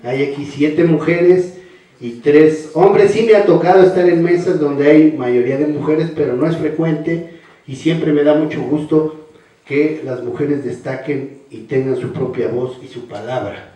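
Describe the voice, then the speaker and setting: An older man giving a measured formal speech as a panelist, often ending phrases with a questioning "no". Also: fairly high pitch, mellow. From Mexico. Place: large hall. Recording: echoey hall, voice from far off.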